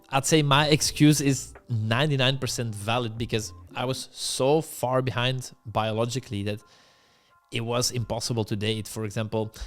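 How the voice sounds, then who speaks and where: Young Belgian-raised dating coach, candid and blunt, telling his own story to camera over a faint music bed. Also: medium pitch. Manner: candid, blunt, self-deprecating.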